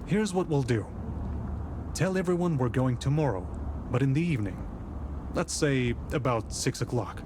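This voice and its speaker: A man acting a dry, authoritative detective in a visual novel set in 19th-century Russia, with a brisk, commanding manner. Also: medium pitch, expressive, noisy background, close to an everyday voice. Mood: businesslike.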